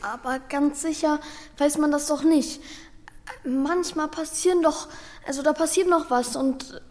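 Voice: high voice, steady tone, a little slow, a little mellow, no room sound. A young performer playing an earnest, worried boy who narrates his own story in a German children's radio drama. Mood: hopeful, insistent. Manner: earnest, plain-spoken, childlike.

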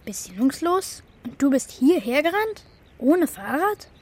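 Very high-voiced, blunt young boy. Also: sing-song, unhurried, darkish tone. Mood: astonished. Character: young boy, bike-proud, sceptical but helpful friend. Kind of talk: children's radio play acting.

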